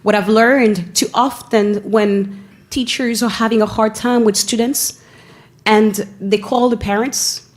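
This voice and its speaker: A woman from Haiti with a Haitian accent, giving an earnest presentation at a school board meeting, often pausing and restarting her phrases. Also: a little high, fairly even intonation. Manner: earnest, with frequent pauses and restarts. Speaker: school family engagement staff member.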